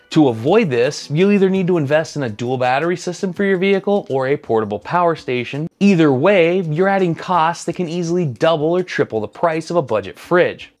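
Dry-toned man reviewing gear. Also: medium pitch. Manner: dry, matter-of-fact, mildly sardonic. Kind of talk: scripted product review. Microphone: lapel mic.

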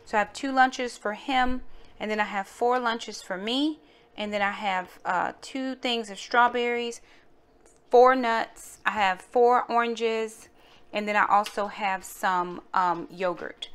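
A woman's voice: medium pitch, slow, clear, resonant tone. Casual woman narrating a home vlog. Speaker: home cooking vlogger.

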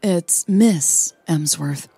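A woman's voice: lowish voice, extremely slow, warm tone, breathy edge, no room sound, faint music behind, full character voice. A woman voicing a curt, cool businesswoman with a clipped manner in an audio story. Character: curt, cool young businesswoman, privately exasperated.

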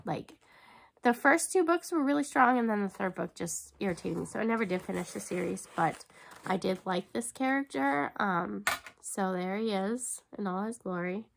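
A woman in her thirties, chatty and excitable, talking casually to camera at home while unboxing, with a stuffy nose and lots of ums. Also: mid-range voice, normal speed, gravelly, slight background noise. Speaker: book box unboxing vlogger. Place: bookshelf-lined room at home.

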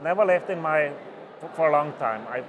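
A middle-aged Danish man with a Danish accent, giving an oral history interview at a conference and talking in halting phrases with many restarts. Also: fairly high pitch, noisy background. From Denmark. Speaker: interviewee, mycologist. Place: small booth at a conference, indoors. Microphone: lapel mic.

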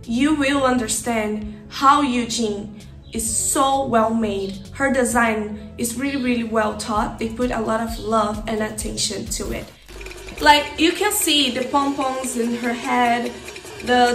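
A young woman speaks in a somewhat high voice. She chats casually and with gushing excitement. The room rings around her voice, with some music behind it. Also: measured pace, echoey room. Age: young adult. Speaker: YouTuber and streamer. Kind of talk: casual reaction video chat. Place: small decorated streaming room at home.

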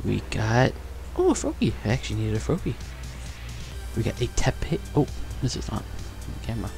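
A young man chatting casually and excitedly while opening trading cards in a bedroom, on a headset mic. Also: mid-range voice, moderate pace, vocal fry, soft background music. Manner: upbeat, chatty, excited exclamations. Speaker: card collecting vlogger. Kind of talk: casual unboxing chat. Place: bedroom studio, indoors.

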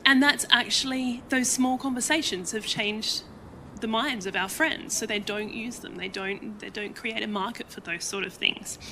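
Australian woman, panel guest, earnest, encouraging, bright voice, faint background noise. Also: fairly high pitch, low-quality recording. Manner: earnest, encouraging, repeats small words. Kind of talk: panel discussion answer.

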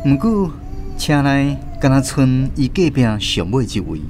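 Animated Taiwanese man narrating a radio drama. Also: medium pitch, unhurried, a little hiss or hum. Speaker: host and narrator.

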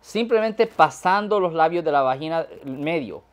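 A man's voice: fairly high pitch, animated, moderate pace. Man, criminal lawyer, long explanations, switches into English words. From California, USA. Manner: explains at length, mixes English words into Spanish. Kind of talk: conversational legal explanation.

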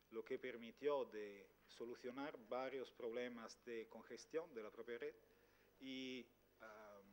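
A man, giving a formal conference presentation in a language that is not his first, hesitant and full of filler sounds, seated at a table microphone in a large hall. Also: mid-range voice. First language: Italian. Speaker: company executive speaker.

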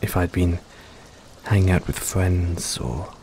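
A man playing an affectionate, self-doubting middle-aged dad in an intimate audio roleplay, speaking hesitantly and tenderly with gentle teasing. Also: deep voice, steady tone, slow pace, poor audio. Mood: anxious, hesitant. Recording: rain-like ambience noise behind.

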